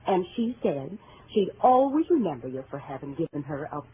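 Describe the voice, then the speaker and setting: A woman's voice: low-pitched, very animated, fairly quick. A chatty, meddling housewife from next door in an old radio drama. She rambles and hesitates in a gossipy way, her voice swooping up and down. The sound is thin and tinny, like an old broadcast. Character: chatty, nosy married neighbour woman. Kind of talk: vintage radio drama acting. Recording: tinny old radio recording, faint hiss.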